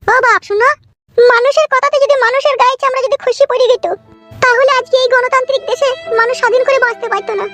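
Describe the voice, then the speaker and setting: A woman's voice: very high-pitched, steady tone, fast, fairly bright tone, soft background music. A woman voicing an indignant, preachy village mother-in-law in a cartoon, with a scolding, insistent way of talking. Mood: lecturing. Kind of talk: Bengali cartoon acting.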